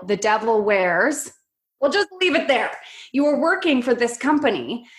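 A woman hosting a podcast interview, bubbly and playfully teasing her guest. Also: on the high side. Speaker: podcast host.

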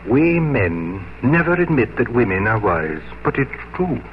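A man's voice: mid-range voice, very animated. An actor playing a regretful, formal aristocratic English father in an old-time radio drama. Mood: regretful, sad. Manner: formal, measured, melancholy. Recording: muffled vintage radio broadcast, faint noise.

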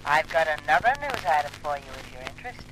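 High-voiced, taunting mystery informant. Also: male voice, big pitch swings, fast, bright, forceful tone. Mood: gleeful taunting. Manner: gleeful, teasing. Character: mysterious, taunting anonymous male telephone informant. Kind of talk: 1950s radio drama acting. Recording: noisy old radio drama recording.

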